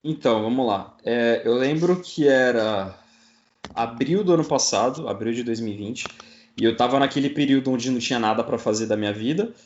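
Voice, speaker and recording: Man, Brazilian accent, casual podcast guest, mid-pitched voice, dull recording. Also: a little fast, a little mellow, slight background noise. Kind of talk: casual chat.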